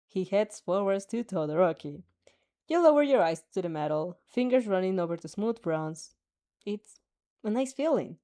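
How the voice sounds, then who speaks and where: A woman's voice: mid-range voice, warm tone. A woman reading a fanfic aloud, with laughing asides and her own reactions. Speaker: fanfic reader.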